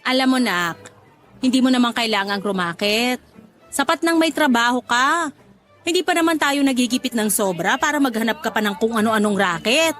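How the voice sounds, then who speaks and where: Actress as a bright-voiced, high-pitched teasing Filipino mother. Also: moderate pace, soft background music, close to an everyday voice. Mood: fond chiding. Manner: bantering, motherly, exclaiming. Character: teasing, affectionate mother, a street vendor of rice cakes. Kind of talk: Tagalog radio drama acting.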